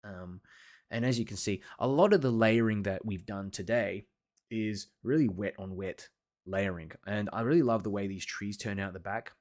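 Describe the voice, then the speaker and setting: A man teaching watercolour on a live stream, chatting casually with viewers in an encouraging way and pausing often. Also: lowish voice, a little mellow. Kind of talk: casual livestream chat reading and answering viewer comments.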